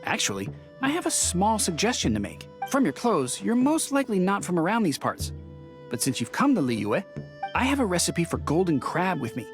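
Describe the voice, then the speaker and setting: A woman voicing a mature, gracious innkeeper who talks kindly and laughs softly. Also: lowish voice, wildly swooping pitch, normal speed, faint music behind.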